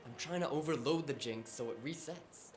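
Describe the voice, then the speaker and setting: Man playing cocky cyberpunk hacker, mid-pitched, sarcastic, casual, audio drama with music behind. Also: moderate pace, lightly acted. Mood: teasing.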